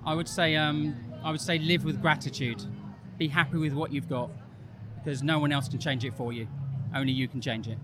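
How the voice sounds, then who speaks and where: A man's voice: a little high, steady tone, brisk. A cheerful, upbeat man who founded the event, speaking at a business show. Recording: noisy event ambience.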